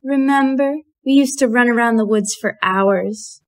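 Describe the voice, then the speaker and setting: A woman playing a shapeshifting fairy in the guise of a sad girl, gently needling in an audio drama. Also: on the high side, unhurried. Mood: wistful, needling. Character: shapeshifting fairy wearing a sad girl's face, slyly tender.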